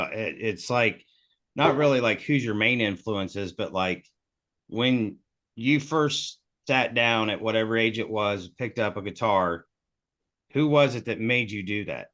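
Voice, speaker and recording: Male interviewer, lowish voice, roundabout questions, muffled lo-fi audio. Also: fairly bright tone. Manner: hedging, rephrases his questions at length. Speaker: interviewer, host.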